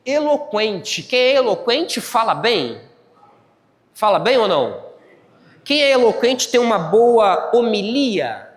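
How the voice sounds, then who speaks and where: A man's voice: high-pitched, big pitch swings, takes it slowly. A man with a Brazilian Portuguese accent preaching a church sermon as a pastor, teaching step by step, repeating phrases and putting check-in questions to his listeners. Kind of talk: church sermon with Bible exposition. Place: church hall, live service. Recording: long hall reverb.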